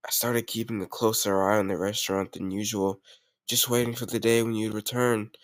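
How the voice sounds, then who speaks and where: A man's voice: lowish voice, little pitch movement, fairly quick, dry recording, clean studio sound, close to an everyday voice. A man playing a confident, doting mafia boss boyfriend in an intimate roleplay audio, speaking affectionately and directly to a lover. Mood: fond, proud. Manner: affectionate, self-assured, addressing a lover directly. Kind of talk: intimate roleplay audio, scripted romantic monologue.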